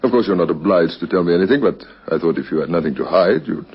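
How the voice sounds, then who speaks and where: An actor playing a brisk, persistent police captain in a radio crime drama, questioning formally. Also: male voice, low voice, lively intonation, breathy edge. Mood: pressing, suspicious. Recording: dull vintage radio recording.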